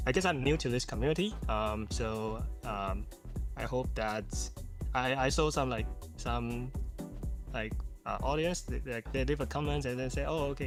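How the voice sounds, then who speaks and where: A man from Taiwan, a new tournament player, giving a halting, nervous interview full of restarts. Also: mid-range voice. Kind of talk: pre-recorded player interview.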